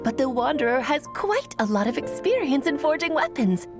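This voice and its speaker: A woman's voice: sits high, big pitch swings, normal speed. A woman voicing a playful, teasing storyteller in a theatrical, scripted game trailer narration. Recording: music behind. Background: background music.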